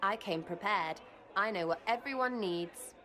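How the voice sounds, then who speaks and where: A woman's voice: medium pitch, unhurried, sharp tone, faint music behind. An actress voicing a brash, irreverent young female elf rogue in a video game who talks in cheeky, blunt slang.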